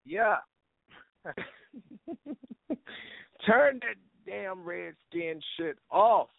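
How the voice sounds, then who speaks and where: A man's voice: sits high, extremely slow, vocal fry, dry recording. A man hosting an internet radio show, chatting casually and informally over a phone-quality line. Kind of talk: casual internet radio chat with shout-outs.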